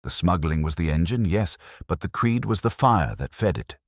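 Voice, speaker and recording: A grave, incisive detective from a mystery audiobook, voiced by a man. The voice is extremely low with a husky grain, and it moves expressively through measured, oratorical explanations. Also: animated, no room sound, clean studio sound. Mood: grave, emphatic.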